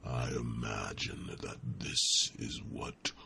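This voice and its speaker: A man voicing a huge old dragon, a lazy, hungry and ponderous companion, in a growling ASMR fantasy roleplay, with long pauses and rumbling hums. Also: noisy background, heavily acted. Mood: relaxed, fond. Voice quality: very deep, gravelly growl. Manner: slow, drawn-out, pausing, rumbling hums.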